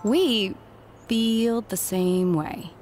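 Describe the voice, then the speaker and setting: A woman playing a harried middle-aged recruiter in a video game cutscene, brisk, distracted and businesslike. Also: mid-range voice, a little mellow, soft background music. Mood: upbeat, encouraging. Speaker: head recruiter.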